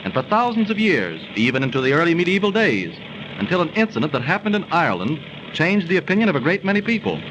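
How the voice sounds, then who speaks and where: Highish, animated learned skeptic, noisy recording. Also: male voice, fast, dull audio. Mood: storytelling. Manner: slightly pompous, storytelling. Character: wry, skeptical, learned friend telling a legend. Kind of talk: 1930s radio acting. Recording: noisy old 1930s radio recording.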